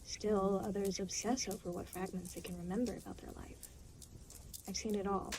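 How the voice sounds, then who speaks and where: A woman's voice: mid-range voice, steady tone, moderate pace, noisy background, close to an everyday voice. A woman playing an aloof, reluctant, weary demon guide in an intimate audio roleplay, speaking in a dry, matter-of-fact, hesitant way. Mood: guarded concern. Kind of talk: intimate scripted audio roleplay spoken to the listener.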